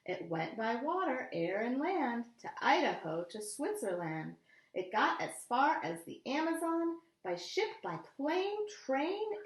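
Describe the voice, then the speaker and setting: A woman voicing an astonished, exclamatory male traveler in a children's storybook. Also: fairly high pitch. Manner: exclamatory, theatrical. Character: chatty, astonished traveler man receiving his long-travelled letter. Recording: echoey room.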